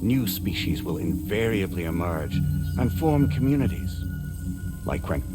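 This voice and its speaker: An actor playing a calm collective non-human intelligence in a video game, lecturing formally in a measured, theatrical manner. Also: male voice, low voice, over loud music, exaggerated voice. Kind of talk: scripted video game cutscene monologue.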